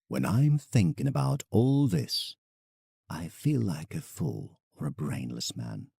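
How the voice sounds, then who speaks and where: An actor playing an ambitious, self-mocking painter, narrating an audio drama in a dramatic, confessional way. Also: male voice, fairly low pitch, gravelly, mellow, husky, dry recording, clean studio sound, heavily acted. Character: proud, ambitious, self-mocking British landscape painter writing letters.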